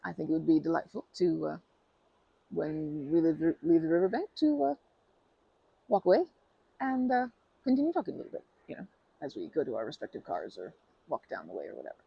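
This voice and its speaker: A woman's voice: deep voice, very animated, a little fast, creaky, pauses often, husky, no room sound, lo-fi sound. A woman in an ASMR roleplay who talks to the listener in a rambling, bashful and playful way, full of fillers and restarts. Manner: playful and earnest, laughing softly.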